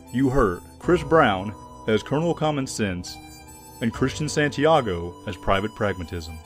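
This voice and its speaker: A man narrating a scripted radio programme as its announcer. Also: mid-range voice.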